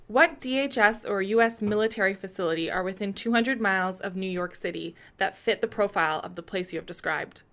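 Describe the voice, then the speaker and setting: A determined, commanding woman played by an actress in a radio play. Her voice is middling in pitch, and she talks bluntly and briskly, probing for answers and giving orders. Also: a bit bright, close to an everyday voice. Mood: probing, urgent. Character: determined, commanding woman leading an urgent investigation.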